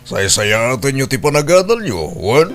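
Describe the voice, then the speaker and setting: A man's voice: mid-range voice, big pitch swings, moderate pace, loud music behind the voice, close to an everyday voice. A man playing a practical, somewhat doubtful husband and father in an Ilocano audio drama, with an Ilocano accent. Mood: fatherly.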